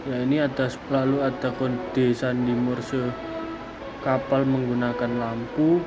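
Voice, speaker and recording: A young man gives an explanatory academic presentation as a student, speaking haltingly in short phrases. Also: mid-range voice, little pitch movement, music in the background. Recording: dull lo-fi audio, clear background noise.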